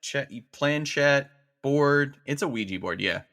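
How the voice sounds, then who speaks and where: Bright-voiced man, slow casual talk. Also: medium pitch, animated. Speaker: podcast guest. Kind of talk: casual conversational podcast skit.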